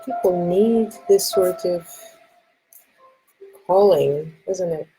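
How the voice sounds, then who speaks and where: A woman with a Portuguese accent talks softly through a live tarot session. Her voice sits slightly low, and she checks in often with "okay?" to reassure her viewers. Faint music plays quietly in the background. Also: takes it slowly, breathy edge, low-quality recording. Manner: soft, reassuring, often asks "okay?" and laughs. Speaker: tarot reader and live stream host. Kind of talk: live stream card reading.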